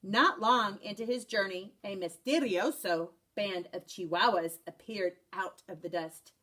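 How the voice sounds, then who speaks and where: A woman, a first-grade teacher, reading a picture book aloud in an expressive, animated way. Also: mid-range voice, unhurried.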